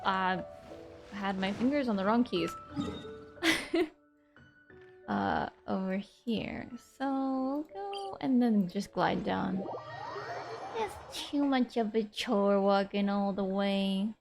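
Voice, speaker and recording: Slow, lively woman streamer. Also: mid-range voice, vocal fry, music in the background. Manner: chatty, giggly, reacting aloud. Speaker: game streamer. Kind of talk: casual commentary over gameplay.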